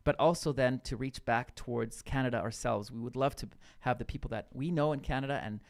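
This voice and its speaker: A man's voice: mid-range voice, a little fast. A man co-hosting a casual radio podcast, talking loosely with lots of fillers and restarts. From Brazil. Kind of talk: casual chat.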